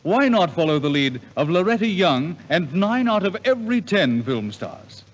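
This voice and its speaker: A man's voice: high-pitched, very animated, moderate pace. A man reading a 1950s radio advertisement as an announcer, with an emphatic, polished sales delivery. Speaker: commercial announcer. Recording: muffled, reverberant 1950s radio recording.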